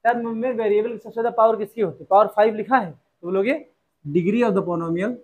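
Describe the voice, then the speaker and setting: A man's voice: sits high, fairly quick, darkish tone. A young man teaching a maths lesson, calling his students "beta" and asking rhetorical questions he answers himself. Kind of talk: classroom lesson explanation.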